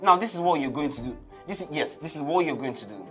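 A man's voice: a little high, lively intonation, fast talker, soft background music, lightly acted. An actor playing an angry young male singer who scolds his manager. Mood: furious. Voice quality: exasperated, scolding. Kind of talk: TV comedy sketch acting.

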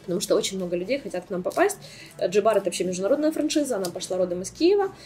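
A woman in her thirties from Kyiv, a salon owner, speaking as a guest in a casual interview. She tells her story with animated rises and plenty of filler sounds, recorded on a desk mic in a small sofa studio. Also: mid-range voice, very fast, faint music behind. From Kyiv, Ukraine. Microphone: desk microphone.

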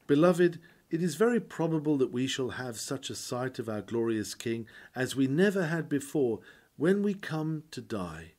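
A man reading a sermon aloud in a solemn, earnest, oratorical manner with dramatic pauses. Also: mid-range voice, very animated, a little mellow. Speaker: sermon reader.

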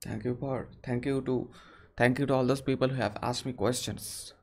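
A young man answers viewer questions on a live stream from a small room. He speaks earnestly and haltingly, often restarting his sentences, and is recorded on a webcam. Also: mid-range voice, fairly even intonation, a little hiss or hum. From India. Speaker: English-learning channel host.

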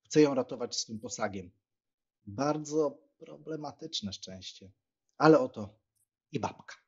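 Male actor as wry young gentleman, husky, breezy, audiobook drama, dull lo-fi sound. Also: mid-range voice, animated, measured pace, full character voice. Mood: wry, resigned. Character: young Polish gentleman, joking, wry brother. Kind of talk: acted audiobook dialogue. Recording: slightly dull, lo-fi microphone sound.

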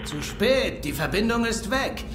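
High-voiced alien ship officer. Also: male voice, very animated, a little slow, background noise. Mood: worried. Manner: clipped military reporting. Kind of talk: German audio drama acting. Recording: echoey ship room, music behind.

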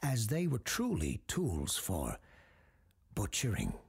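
Creepy grave doctor narrating horror podcast, super husky, pitch swoops around a lot. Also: male voice, huge pitch swings, darkish tone, exaggerated voice. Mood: grave, ominous. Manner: slow, deliberate, ominous, with dramatic pauses. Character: grave scholarly doctor recounting dark legends. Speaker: character host telling a tale. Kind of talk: scripted horror storytelling for audio drama.

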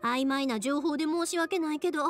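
A woman's voice: high-pitched, a little fast, close to an everyday voice. A woman voicing a cheerful, eager, friendly young woman in an anime-style game, with a Kansai accent. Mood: apologetic, hopeful. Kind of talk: Japanese video game anime voice acting. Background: background music.

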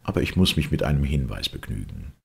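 Very deep, gravelly male narrator. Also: sing-song, quick pace. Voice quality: gravelly, husky. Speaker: audiobook narrator. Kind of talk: scripted literary narration.

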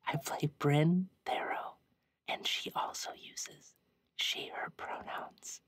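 A woman podcast player introducing herself in a hushed, whispery ASMR style. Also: low-pitched, steady tone, slow pace, no echo.

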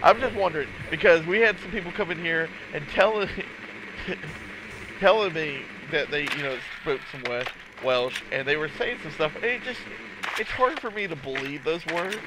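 A man's voice: fairly high pitch, expressive, normal speed. A man streaming a game and chatting casually with friends, easygoing and mildly scolding anyone who swears. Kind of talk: casual gaming chat. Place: home gaming setup, indoors. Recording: noisy game stream audio.

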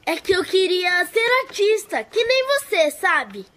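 A woman's voice: very high voice, big pitch swings, unhurried, sharp tone, exaggerated voice. A woman voicing a feisty, eager, child-like little insect in a cartoon dub, with a Brazilian accent. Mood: eager, shy. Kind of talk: animated cartoon dub acting.

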